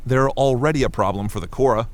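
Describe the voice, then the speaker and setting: A man's voice: mid-range voice, fast, lightly acted. A man playing a worried, complaining ship's doctor in an audio drama. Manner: complaining, questioning.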